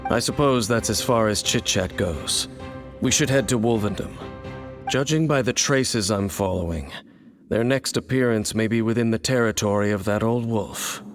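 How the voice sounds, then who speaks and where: An actor playing a grave, mysterious wandering swordsman in a video game, speaking in a solemn, measured and knowing way. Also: fairly low pitch, close to an everyday voice. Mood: calm. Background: game music.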